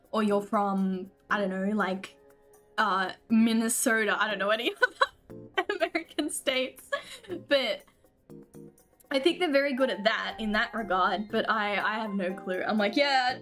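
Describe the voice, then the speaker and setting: High-voiced, giggly Aussie woman streamer. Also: a bit bright. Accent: Australian. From Australia. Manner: chatty and giggly, laughs often. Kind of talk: casual chat with viewers while gaming.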